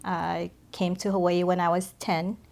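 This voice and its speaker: A woman from the Philippines, middle-aged, sharing a personal faith testimony as a podcast guest, speaking hesitantly with many pauses. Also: fairly low pitch, level tone, vocal fry, a little mellow.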